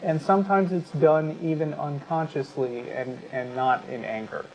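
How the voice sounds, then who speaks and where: A man with an American accent speaks as a talk show host, making an argument conversationally and often pausing mid-sentence. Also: on the high side, fairly even intonation, darkish tone, poor audio.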